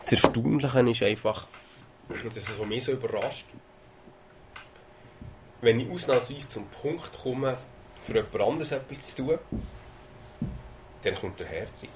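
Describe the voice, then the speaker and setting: A man's voice: medium pitch, measured pace, long pauses, noisy background. A man with a Swiss German accent preaching a sermon, talking in a conversational way with hesitations and sudden emphasis. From Switzerland.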